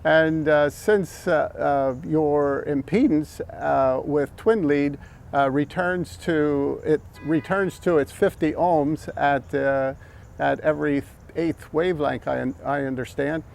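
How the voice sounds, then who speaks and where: A man's voice: a little high, fairly even intonation, takes it slowly, gravelly, darkish tone. An older American man talking casually and explaining things in a hesitant, reflective way. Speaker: amateur radio operator and vlog host. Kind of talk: casual explanatory vlog talk. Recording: outdoor background noise.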